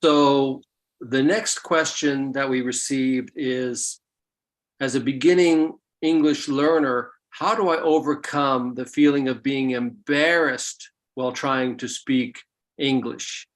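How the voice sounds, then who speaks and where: A co-host who reads viewer questions aloud in a brief, plain way. The pace is slow and the pitch sits in the middle. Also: steady tone.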